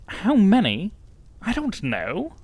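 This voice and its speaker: A man voicing a curious, easily baffled gentleman companion to a detective in an audiobook, earnest and formal. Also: high voice, wildly swooping pitch, slow pace, no echo, pitched above the performer's own voice, heavily acted. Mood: puzzled. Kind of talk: audiobook dialogue acting.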